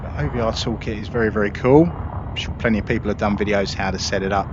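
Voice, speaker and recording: A man hosting a gaming video, giving relaxed, self-deprecating gameplay commentary. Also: lowish voice, quick pace. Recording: lo-fi with clear background noise.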